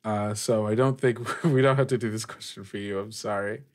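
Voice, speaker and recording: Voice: medium pitch, steady tone, fairly quick, over a noisy crowd. Informal, slangy game master running the table. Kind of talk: casual tabletop game narration and chat.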